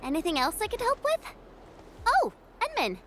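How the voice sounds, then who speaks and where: Casting: upbeat young female game companion, high and very lively, chatty, curious; some background ambience. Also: moderate pace, slight background noise, full character voice. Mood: puzzled, chatty. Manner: casual, animated, expressive. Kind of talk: video game voice acting.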